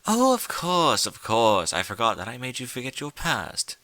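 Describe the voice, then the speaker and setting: A glib male creature of chaos played by a man for a storybook reading. He coaxes theatrically with an impatient edge, the pitch swinging up and down in a bright, forceful tone. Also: wildly swooping pitch, normal speed, no room sound. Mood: breezy. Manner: theatrical, coaxing, exasperated. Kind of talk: fan fiction storybook reading.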